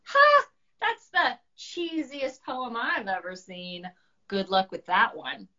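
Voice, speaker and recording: An excitable, proud dragon from a children's storybook, voiced by a woman. He exclaims theatrically in a high, swooping voice and likes to tease. The sound is slightly dull and lo-fi. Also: slow, full character voice. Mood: mocking. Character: excitable male dragon poet, proud and teasing.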